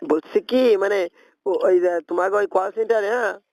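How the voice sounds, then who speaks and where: A man with a high, gravelly voice and a rural Bangladeshi accent. He is making a prank call, wandering and repeating himself as if confused. The sound is close and dry. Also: a little mellow, no echo, poor audio. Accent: rural regional Bangladeshi. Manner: feigns confusion, repeats himself, haltingly evasive. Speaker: prank caller. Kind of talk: rambling prank phone call.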